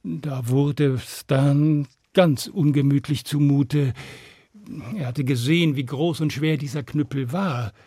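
A male storyteller narrates a children's fairy tale at an unhurried pace. His voice is creaky and husky, and he uses deliberate pauses and dramatic emphasis. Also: fairly high pitch, darkish tone, dry recording, studio quality.

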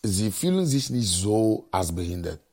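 A man's voice: lowish voice, big pitch swings, unhurried, darkish tone. A man from Africa, explaining things in a plain, repetitive way in unscripted interview speech. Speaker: interviewee reflecting on life back home. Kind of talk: unscripted explanation with personal examples.

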